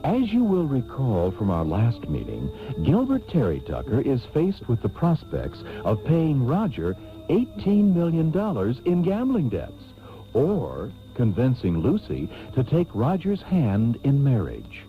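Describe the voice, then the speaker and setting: An actor playing a suave, pompous host narrating a parody radio soap with drawn-out theatrical delivery. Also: male voice, medium pitch, huge pitch swings, soft background music. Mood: grandly amused. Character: suave, mock-grand male soap opera host. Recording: tinny band-limited taped radio broadcast.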